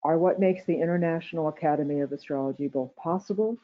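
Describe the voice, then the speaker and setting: A soft-voiced woman giving a prepared ceremonial welcome speech as an education director. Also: low voice, quick pace. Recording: tinny, phone-like online meeting audio.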